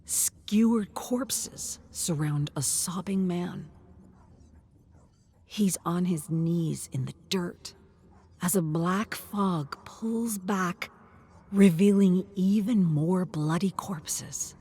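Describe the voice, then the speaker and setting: A woman reads a scripted story as narrator, with theatrical, deliberate delivery and emphatic short phrases. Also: on the low side, warm tone, slightly rough.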